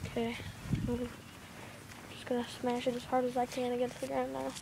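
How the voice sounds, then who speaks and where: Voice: medium pitch, monotone, moderate pace, creaky. Someone giving casual, offhand commentary on what they are doing, as an amateur filming outdoors. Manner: offhand, narrating actions as they happen. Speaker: amateur video maker. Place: outdoors in a yard by a street. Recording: noisy amateur camcorder audio.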